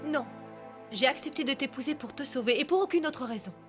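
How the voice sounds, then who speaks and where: A woman's voice: sits high, animated, brisk, over music. An actress voicing a bold, decisive young woman in a French cartoon dub. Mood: firm. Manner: decisive, commanding. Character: bold, firm young Romani dancer woman.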